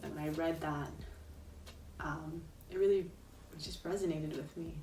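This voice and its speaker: Young woman chatting softly about tarot, lots of ums, kinda far from the mic, echoey, music going behind. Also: low voice, fairly even intonation, measured pace, slight background noise. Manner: soft-spoken, thoughtful, many ums and you-knows. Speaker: tarot reader and interviewee. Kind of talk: reflective personal talk. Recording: roomy sound, voice set back from the mic, clear music behind.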